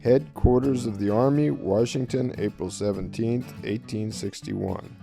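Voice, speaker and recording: A man plays a stern nineteenth-century staff officer reading a formal order in an audio story. The voice is very dark and gravelly, deliberate and official, over quiet guitar music. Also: on the low side, pitched above the performer's own voice. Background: plucked guitar music.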